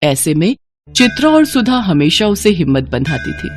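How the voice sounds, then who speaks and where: Female storyteller, animated and expressive scripted narration, light music underneath. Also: lowish voice, moderate pace. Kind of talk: scripted dramatic Hindi devotional story narration. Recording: music behind the voice. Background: background music.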